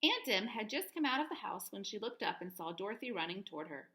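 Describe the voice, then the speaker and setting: A woman reading a children's story aloud in an expressive, clearly phrased teacher's manner. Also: mid-range voice, quick pace.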